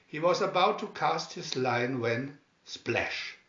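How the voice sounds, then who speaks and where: A man reading a children's story aloud in a measured, expressive, scripted narration. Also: a little high, echoey room, lo-fi sound. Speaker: storybook reader.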